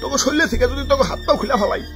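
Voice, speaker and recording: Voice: high voice, racing, music in the background. A performer voicing an aggrieved talking SIM card in a cartoon, ranting and scolding. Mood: indignant grumbling. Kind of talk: comic cartoon dialogue in colloquial Bengali.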